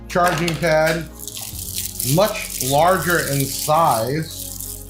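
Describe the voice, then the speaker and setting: A man giving a casual, off-the-cuff product review, explaining things informally like a salesman. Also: mid-range voice. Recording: echoey room, faint music behind.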